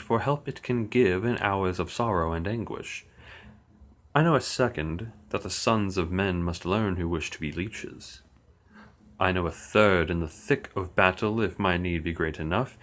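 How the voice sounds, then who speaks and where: A man reciting old verse slowly and steadily on his livestream, with dry asides. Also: low voice, fairly bright tone. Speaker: occult streamer. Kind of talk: reading verse aloud on a livestream.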